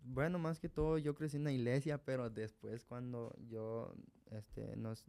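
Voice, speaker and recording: A young man from Guatemala with a Guatemalan Spanish accent, a guest in a radio studio telling his life story in a halting way, with restarts and small laughs. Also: mid-range voice, flat delivery, gravelly, mellow. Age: young adult. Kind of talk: hesitant personal testimony.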